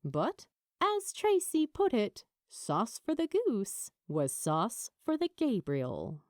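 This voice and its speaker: A woman narrating fiction as a dramatic storyteller, in a measured, deliberate way with emphatic pauses. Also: on the high side, huge pitch swings, a little mellow, no echo. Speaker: audiobook narrator.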